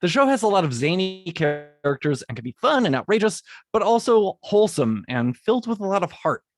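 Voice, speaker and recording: A man with a slightly high voice reads his prepared lines as a guest composer. He breaks off now and then to restart a sentence. The sound is very dry and close, with no room at all. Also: big pitch swings, a little fast, no room sound, soft background music. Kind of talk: recording a podcast segment with retakes.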